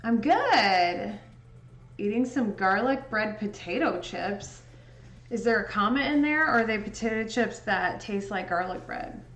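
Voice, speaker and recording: A young woman from Indiana with a Midwestern accent, chatting casually with viewers during a livestream while she edits photos. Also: mid-range voice. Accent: Midwestern American. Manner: slow, relaxed, chatty with frequent laughs. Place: indoor home room. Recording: echoey room with faint music.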